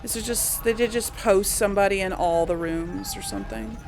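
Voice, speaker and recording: A woman's voice: medium pitch, very animated, a little fast. A woman giving casual game commentary, musing aloud to herself and her audience. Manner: musing, talks to self and audience. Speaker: game streamer, let's-player. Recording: game music and sounds behind.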